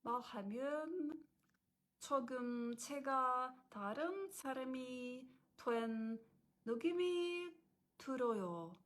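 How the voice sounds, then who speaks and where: A woman speaking quickly and lightly, with some hesitation. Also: high voice, animated, fairly bright tone.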